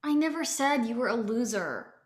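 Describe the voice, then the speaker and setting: A woman's voice: a little high, lively intonation, moderate pace, natural voice. A woman playing a blunt, exasperated thirty-year-old ex-girlfriend at a casual comedy table read.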